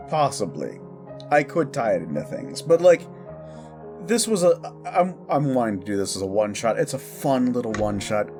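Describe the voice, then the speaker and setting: A man's voice: medium pitch, darkish tone. A man hosting his audio channel, chatting casually to listeners in a rambling, informal, self-interrupting way. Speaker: audio roleplay creator and host.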